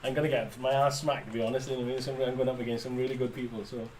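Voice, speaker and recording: A man's voice: medium pitch, steady tone, very fast, darkish tone, recorded from a distance, poor audio. A man hosting a livestream model build, chatting casually and laughing often, with lots of "you know what I mean". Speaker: livestream host. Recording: voice a bit off-mic with faint room noise.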